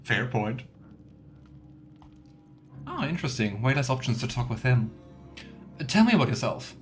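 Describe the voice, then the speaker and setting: Male let's play host: mid-pitched, casual, musing commentary with light role-play, faint music underneath. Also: sing-song, normal speed. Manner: musing, thinking aloud.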